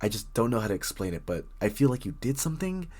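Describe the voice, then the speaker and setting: A man's voice: fairly low pitch, sing-song, fast talker, a little mellow. A man playing a very shy, bookish young stranger in an intimate roleplay audio drama, stammering, rambling and apologising nervously as he addresses the listener. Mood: flustered.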